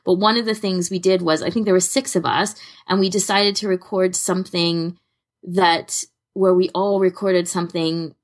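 A woman's voice: on the low side, fairly even intonation, normal speed. A woman co-hosting a podcast in casual fan chat, rambling and self-deprecating in an amused way. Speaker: podcast co-host.

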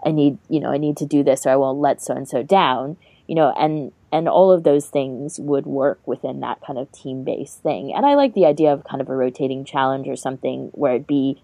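This is a woman with a distinctly low, warm and relaxed voice. She co-hosts a podcast in a casual discussion style, recorded very dry. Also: normal speed, gravelly, few pauses, dry recording. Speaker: podcast co-host.

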